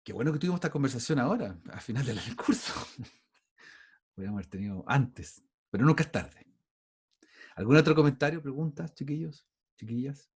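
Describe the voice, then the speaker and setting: A man's voice: mid-range voice, lively intonation, a little fast, long pauses, husky. A middle-aged man with a Chilean accent teaching a university class over a video call, talking in a loose, conversational way with frequent restarts. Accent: Chilean Spanish. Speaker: university lecturer. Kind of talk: online class lecture.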